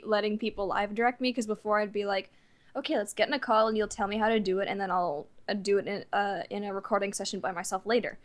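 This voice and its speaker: A nineteen-year-old woman chatting casually as an interview guest, with a giggly, animated way of telling stories. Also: medium pitch. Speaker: guest, amateur voice actor.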